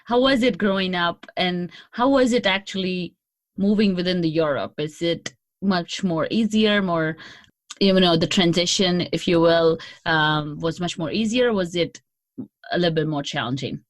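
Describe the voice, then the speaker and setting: Podcast host, female, slightly low pitch, close dry sound, informal interview chat. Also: moderate pace, no room sound.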